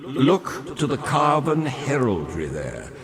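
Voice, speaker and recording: Grandiose undead ship captain for a video game, florid n roguish, really drawn out, pitch goes all over the place. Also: male voice, wildly swooping pitch, takes it slowly, a crowd in the background, heavily acted. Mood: sly, wry. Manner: florid, pompous, savoring his words. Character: grandiose undead sea-captain revenant, roguish, wry, morbidly cheerful. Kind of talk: theatrical video game character dialogue.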